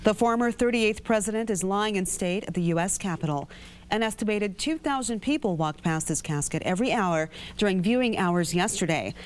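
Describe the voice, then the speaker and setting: A woman's voice: medium pitch, animated, fairly quick, a little hiss or hum. A woman with an American accent reading scripted news as an anchor.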